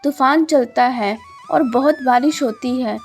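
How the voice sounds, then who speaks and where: A woman narrating a Hindi cartoon story in a gentle, measured, moralizing style. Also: high voice, over loud music.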